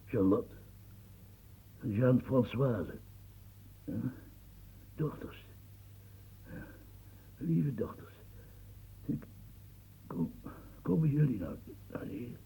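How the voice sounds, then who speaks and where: An old, feverish statesman, gravelly and husky, stumbling over his words; tinny old radio recording. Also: fairly low pitch, lively intonation, very slow, long pauses, mellow, full character voice. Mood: dying whisper. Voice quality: gravelly, stammering. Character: aging French statesman, feverish, rambling, near death. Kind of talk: radio play acting.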